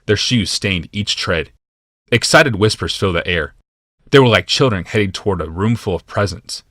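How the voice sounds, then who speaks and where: A man reading scripted fantasy narration as an audiobook narrator, in a deliberate, dramatic way. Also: lowish voice, animated, a bit bright, no echo, clean studio sound.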